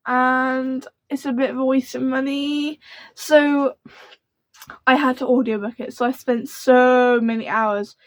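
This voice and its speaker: A young woman who speaks in a soft, quiet voice, chatting to camera about books in a rambling, gushing way. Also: sits high, no room sound. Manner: rambling and gushing, full of fillers. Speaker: book vlogger. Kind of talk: casual book chat to camera.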